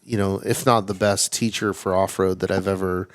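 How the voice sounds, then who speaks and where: Low-voiced man hosting a podcast. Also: moderate pace, dry recording. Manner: relaxed, filler-heavy, admiring. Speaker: podcast host. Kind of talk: casual interview.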